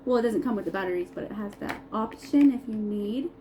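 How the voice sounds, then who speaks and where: A woman's voice: mid-range voice, quick pace. A woman giving a casual unboxing review, talking as a product reviewer in a noisy home recording. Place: upstairs room in a brick house.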